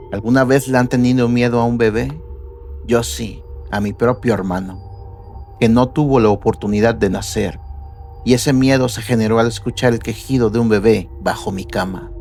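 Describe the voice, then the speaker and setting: A man narrating horror stories in a measured, somber reading style. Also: mid-range voice, darkish tone. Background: faint background music.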